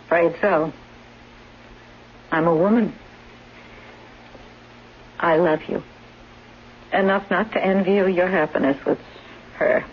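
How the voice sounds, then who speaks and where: An actress playing a cool, lovelorn caregiver, speaking in a measured, deliberate way in a 1970s radio drama. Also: female voice, lowish voice, steady tone. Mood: confessing, wistful. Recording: dull 1970s radio recording, faint noise.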